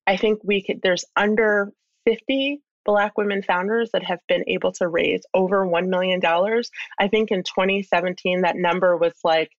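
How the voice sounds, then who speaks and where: A woman's voice: medium pitch, steady tone, normal speed, no echo, highs cut off. A woman speaking as an interview guest, explaining her points conversationally and thoughtfully, with emphasis as she lists them. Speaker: interview guest, expert commentator.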